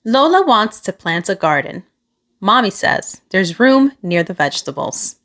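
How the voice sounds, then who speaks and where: A woman reading a children's picture book aloud, with expressive, deliberate phrasing aimed at young listeners. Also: medium pitch. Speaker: children's storybook reader.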